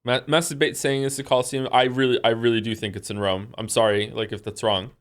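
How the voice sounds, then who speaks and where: A man from Wales livestreaming, thinking aloud in a rambling, excitable, incredulous way as he chats casually with viewers during a game. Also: mid-range voice, fairly quick. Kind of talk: casual chat with stream viewers while playing a guessing game.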